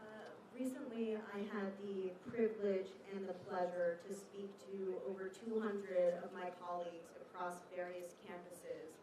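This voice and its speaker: A woman's voice: mid-range voice, darkish tone. A young woman giving a rousing statement at an outdoor student press conference, built on repeated phrases. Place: outdoors on a campus. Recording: distant, echoey, with a crowd around.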